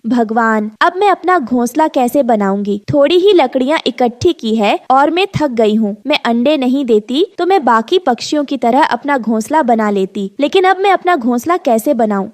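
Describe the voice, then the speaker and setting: A woman's voice: sits high, lively intonation, moderate pace, few pauses, darkish tone, no echo, studio quality. A woman playing a worried, weary expectant mother bird in a cartoon story, polite, pleading and fretful. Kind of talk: animated moral story dub.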